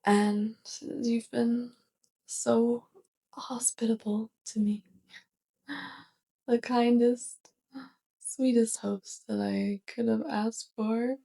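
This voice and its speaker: A woman playing a sweet, curious, awkward alien roommate with a crush, speaking gently and haltingly in a soft ASMR roleplay. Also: fairly high pitch, level tone, gravelly, heavily acted. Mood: shy, grateful. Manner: gentle, hesitant, halting delivery.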